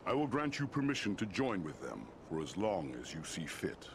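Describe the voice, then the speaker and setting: An actor playing a regal, wise and stern giant eagle lord in a fantasy game, stately and authoritative in delivery. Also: male voice, low voice, sing-song, normal speed, a little hiss or hum. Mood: stern, then granting. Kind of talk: scripted fantasy game dialogue.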